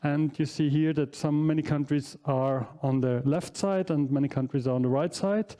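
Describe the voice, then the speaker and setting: A non-native English-speaking man in his forties lectures through a headset mic in a big hall. His voice sits a little high but sounds dark and mellow. He talks through his slides with fillers and small self-corrections. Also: steady tone, normal speed. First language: not English. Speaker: professor giving an inaugural lecture. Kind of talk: academic lecture.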